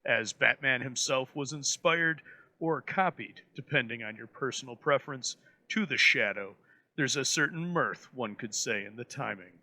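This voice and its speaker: A man presenting an old radio episode in florid, dramatic scripted narration with deliberate pauses. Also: medium pitch, pushed and bright.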